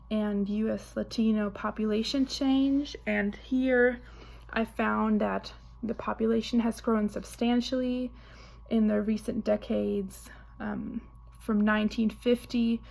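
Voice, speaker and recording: Slow, flat woman, gravelly. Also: medium pitch. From Germany. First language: German. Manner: hesitant, frequent "um" pauses. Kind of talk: spoken reading response.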